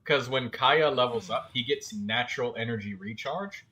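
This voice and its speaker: A man giving casual, explanatory game commentary as a streamer, halting now and then as he thinks. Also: medium pitch, sharp tone.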